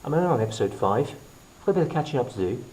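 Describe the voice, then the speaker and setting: A man presenting a hands-on science demonstration as a teacher, explaining to camera in short, deliberate phrases. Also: mid-range voice, animated, darkish tone. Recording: clearly noisy recording with clicks.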